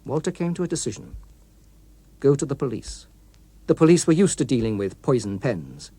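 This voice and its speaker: A man narrating an audiobook story in a precise, expressive, literary manner, recorded in a studio. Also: medium pitch, normal speed, breathy edge, dry recording. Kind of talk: scripted dramatic story narration.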